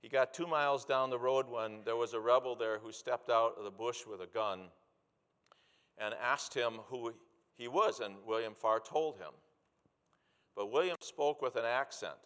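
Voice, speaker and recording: A middle-aged or older man preaching a sermon with personal storytelling, speaking in a deliberate, earnest way and repeating key phrases for emphasis. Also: medium pitch, a bit bright. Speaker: preacher, former missionary. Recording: long hall reverb.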